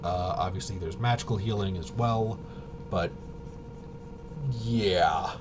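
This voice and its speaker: A man casually explaining game rules unscripted, halting and rambling as he thinks aloud. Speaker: tabletop RPG reviewer and explainer. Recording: clearly noisy with low rumble.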